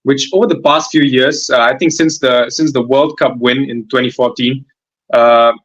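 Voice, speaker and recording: A man in his twenties from Germany answers interview questions as a footballer guest. He talks hesitantly, full of fillers and restarts, at a middling pitch. The recording sounds dull and lo-fi. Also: fairly even intonation, moderate pace, a bit bright. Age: 20s.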